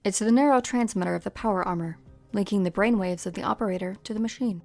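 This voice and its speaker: A woman playing a composed scientist who explains things in a measured way, in an amateur game fan dub. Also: medium pitch, creaky, darkish tone, faint music behind, lightly acted. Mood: calm, matter-of-fact. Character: composed female scientist with mineral powers, coolly explanatory.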